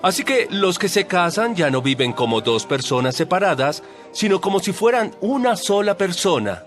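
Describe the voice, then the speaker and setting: A calm, authoritative holy teacher in an audio Bible drama. He delivers solemn, measured lessons with widely varied intonation and firm emphasis. Soft music plays behind him. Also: sits high. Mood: firm teaching.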